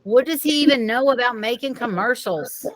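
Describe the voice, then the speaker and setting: A woman's voice: fairly high pitch, normal speed. A woman teacher reading the dialogue of a children's novel aloud, a little away from the microphone. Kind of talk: read-aloud storybook dialogue.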